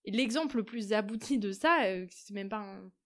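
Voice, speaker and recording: A young woman in her twenties, a podcast guest, telling her travel experiences in a lively, halting way, often trailing off. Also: medium pitch. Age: 20s. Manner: animated, frequent fillers, trailing off into quiet. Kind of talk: conversational storytelling.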